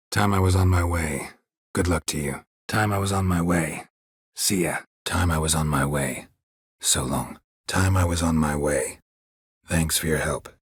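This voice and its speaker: A gruff, dry-witted monster hunter for a fantasy video game. His voice is extremely deep and heavily rasped, recorded bone-dry. He speaks in clipped, deadpan phrases with a stoic temper. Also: no room sound, heavily acted. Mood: deadpan, matter-of-fact. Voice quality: gravelly, husky low voice.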